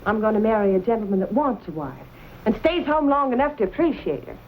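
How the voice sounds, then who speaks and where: A proud young sweetheart in an old western drama. Her fairly high voice rises and falls expressively, pointed and a little wounded. Faint noise sits in the recording. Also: very animated, quick pace, narrow, tinny audio. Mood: defiant, hurt.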